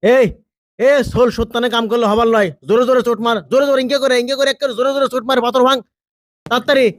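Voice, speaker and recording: A man's voice: very high voice, level tone, racing, no room sound. A man with a northern Bangladeshi accent voicing a bumbling, chattering hangman in a comic game, through a voice changer. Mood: scolding. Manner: chattering, exclaiming, repetitive. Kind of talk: comic dubbed game roleplay in dialect.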